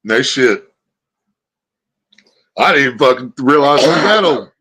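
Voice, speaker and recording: A man's voice: medium pitch, lively intonation, a little slow, sharp tone, breathy edge. A man hosting a livestream. He chats casually and tells rambling stories full of swearing. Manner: profane, rambling storyteller. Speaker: livestream host.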